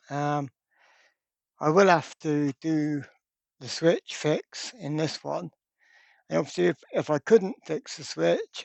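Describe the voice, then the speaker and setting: Creaky-voiced man chatting about gear. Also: fairly high pitch, a little mellow, no echo. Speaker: host of a radio repair channel. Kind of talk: casual hands-on product talk.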